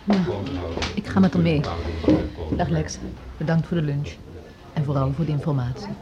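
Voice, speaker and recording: Low-voiced, sensible woman played by an actress, noisy recording. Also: moderate pace, natural voice. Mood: placating. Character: conciliatory, sensible female music school colleague. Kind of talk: Dutch radio drama acting. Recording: dull, noisy radio drama recording.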